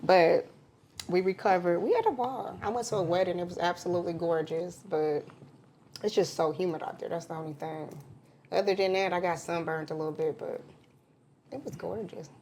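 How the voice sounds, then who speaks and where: A woman with a fairly low voice tells a story in an easygoing podcast chat. She acts out what other people said as she goes. Faint hiss sits behind her. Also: moderate pace. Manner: animated storyteller, acts out quotes. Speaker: podcast co-host.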